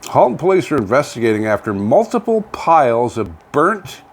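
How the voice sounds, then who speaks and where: A man's voice: medium pitch, very animated, normal speed. An older man with a Canadian accent co-hosting a casual local news chat, sometimes reading items aloud, in a folksy, plain-spoken, rambling way. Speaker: co-host of a local online radio vlog. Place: home studio, indoors. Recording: clearly noisy home studio recording.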